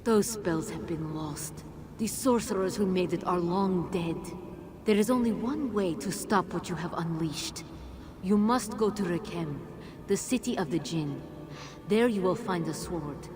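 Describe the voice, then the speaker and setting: An actress playing a mysterious, wise female guide in a video game cutscene, speaking in a grave, measured, authoritative way. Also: on the low side, lightly acted. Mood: solemn.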